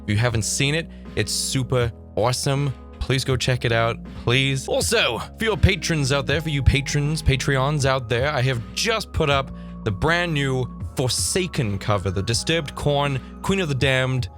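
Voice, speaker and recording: Northern Irish man, slightly hoarse, lively reaction host, close desk mic, soft music bed. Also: medium pitch. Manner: animated, quick asides, frequent laughter. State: slightly hoarse from vocal fatigue. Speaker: goth reaction video host. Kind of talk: casual reaction commentary. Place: home streaming room. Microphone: desk mic on boom arm.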